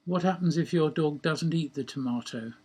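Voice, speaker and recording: A man's voice: fairly high pitch, normal speed, mellow, slightly rough. A man voicing a sceptical, pedantic friend in a story, questioning in a dry, wordy, precise way.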